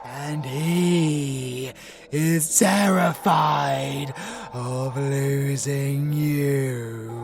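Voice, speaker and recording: Very slow, gravelly British monster villain. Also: male voice, mid-range voice, steady tone, music in the background. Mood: quiet menace. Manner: theatrical and taunting, swinging from slow hushed purrs to shouts. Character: sadistic male monster villain, gloating, menacing, hungry for prey. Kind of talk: villain ASMR audio roleplay acting.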